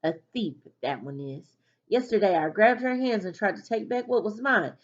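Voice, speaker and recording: A woman's voice: medium pitch, animated, normal speed, highs cut off, voice pushed up, natural voice. A woman voicing a starving, bitter enslaved girl in a storybook diary read-aloud. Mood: bitter, hungry.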